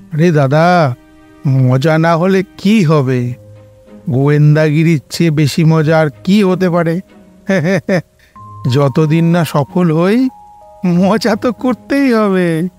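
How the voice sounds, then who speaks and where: A man acts out a jolly middle-aged uncle who lives for jokes. His voice sits a bit high and he drags his words out playfully, as in a radio mystery, with soft music underneath. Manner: playful, chuckling, drawn-out talk. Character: jolly, joking middle-aged uncle, fun-loving sidekick. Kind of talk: Bengali audio drama acting.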